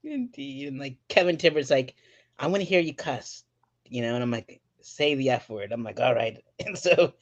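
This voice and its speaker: Giggly animated comedy-show host. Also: mid-range voice, moderate pace, gravelly, highs cut off. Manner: giggly, animated, swearing banter. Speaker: podcast host. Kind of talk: casual interview chat.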